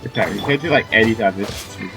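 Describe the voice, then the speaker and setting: A man's voice: on the low side, quick pace. A young man giving casual, off-the-cuff commentary while playing a video game. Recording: loud game music and combat sounds behind. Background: game music and battle effects.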